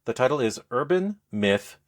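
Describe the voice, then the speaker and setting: A man speaking as a podcast co-host, explaining things in a casual, halting, conversational review style. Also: medium pitch, expressive. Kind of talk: casual game review chat.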